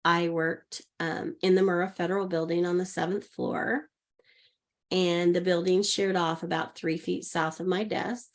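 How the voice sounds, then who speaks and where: A woman's voice: fairly low pitch, flat delivery, measured pace. A woman around sixty, a guest coach, telling a personal story in a podcast interview and acting out the voices of people she quotes. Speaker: guest, intuitive leadership coach.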